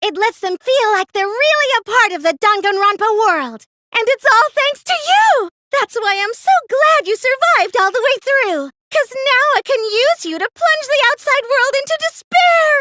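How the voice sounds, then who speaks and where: Game villain: young cosplayer mastermind, piercingly high, very animated, taunting, dry close recording. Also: female voice, moderate pace, hardly any pauses, dry recording, full character voice. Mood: gloating, manic. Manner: theatrical, gloating, taunting. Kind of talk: anime game voice acting.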